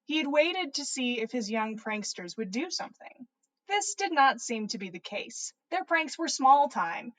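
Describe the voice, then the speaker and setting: A woman's voice: a little high, poor audio. A woman hosting a story livestream, reading narration aloud in an informal way with casual asides. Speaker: podcast host reading stories.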